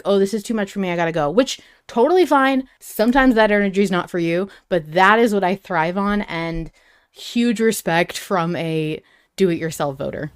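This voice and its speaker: A woman's voice: medium pitch, smooth. Woman hosting a casual podcast. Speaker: podcast host.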